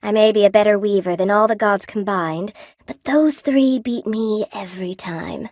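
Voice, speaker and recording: Video game spider weaver woman, played by an actress: mid-pitched, coy, folksy and wry, friendly chatter, dry close studio sound. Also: normal speed, a little mellow, dry recording, lightly acted. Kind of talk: video game character dialogue. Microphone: close dry studio microphone.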